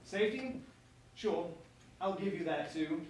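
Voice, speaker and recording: Man as blustering prince, high animated voice, theatrical verse, staged reading, distant echoing noisy room. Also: a little slow. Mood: mocking, amused. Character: pompous, haughty young prince, mockingly amused. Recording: noisy, echoey hall, distant mic.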